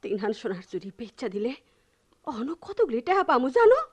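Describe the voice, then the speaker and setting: An actress playing a defiant, playful village wife with a rural Bangladeshi accent in an emotional, singsong radio drama style. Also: female voice, a little high, big pitch swings, a little fast, husky. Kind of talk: Bengali radio drama acting.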